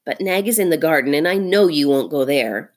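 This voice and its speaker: A woman's voice: low voice, expressive, a little fast. Woman playing a bold, scornful mongoose. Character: bold, scornful male mongoose snake killer.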